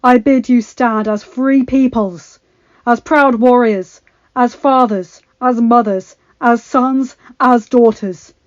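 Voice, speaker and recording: A woman voicing a young male warrior king who rallies his army with slow, loud shouts. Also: a little high, fairly even intonation, dry recording, lo-fi sound, pitched above the performer's own voice. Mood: rousing.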